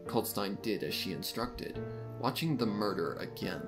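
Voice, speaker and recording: A man reading scripted third-person fan fiction as the narrator of a dark mystery story. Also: on the low side, lively intonation, normal speed, music in the background.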